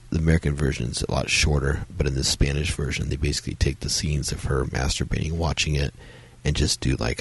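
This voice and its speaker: Very deep gravelly man with a cold. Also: no room sound. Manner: rambling, many fillers and restarts. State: has a cold, strained voice. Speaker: podcast host reviewing films. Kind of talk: solo casual review talk.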